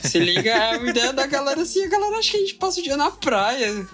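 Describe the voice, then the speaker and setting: A musician guest from Fortaleza, talking emphatically in slangy casual chat over a video call. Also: male voice, very high voice, very animated, loud music behind the voice. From Fortaleza, Brazil. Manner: emphatic, slangy, drawn-out phrasing.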